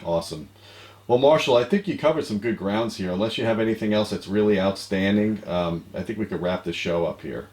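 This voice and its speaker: A middle-aged American man with a low-pitched voice hosts an online show. His delivery is casual and conversational, like an informal chat with a colleague. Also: a little fast, darkish tone. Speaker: show host. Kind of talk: casual video show hosting. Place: home office.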